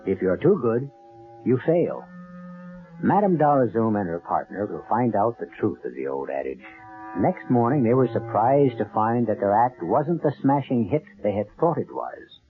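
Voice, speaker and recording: A man's voice: lowish voice, big pitch swings, normal speed. A man hosting an old-time radio mystery, narrating in a grave, portentous, theatrical manner. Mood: grave, ominous. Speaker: host narrator. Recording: muffled old radio broadcast, music behind. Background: music.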